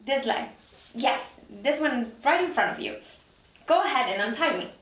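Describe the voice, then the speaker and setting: A woman's voice: sits high, animated, normal speed, a bit bright. A woman playing a manipulative, frantic captive woman in her late thirties at a dramatic table read. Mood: cajoling. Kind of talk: dramatic script reading. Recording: roomy, distant mic.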